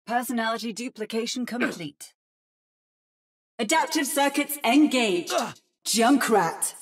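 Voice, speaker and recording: A woman acts out an adaptive female robot from a video game, mimicking fighters' battle calls. The voice is high and unhurried. Delivery is precise, synthetic and theatrical. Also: close to an everyday voice. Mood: precise, robotic. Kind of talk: video game character voice lines.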